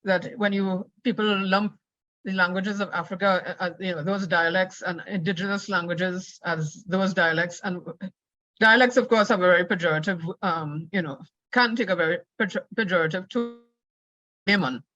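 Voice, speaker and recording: A woman's voice: on the low side, normal speed, fairly bright tone, no echo. Lecturing woman, dry dull sound. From Trinidad and Tobago. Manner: conversational, with frequent fillers and restarts. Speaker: conference plenary speaker. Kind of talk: academic lecture.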